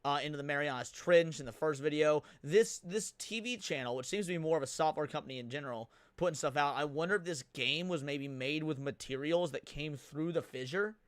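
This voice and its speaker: A man's voice: on the high side, fairly quick, few pauses, dry recording. A man giving casual live reaction commentary as a stream host, thinking aloud as he goes. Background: loud music from the watched video.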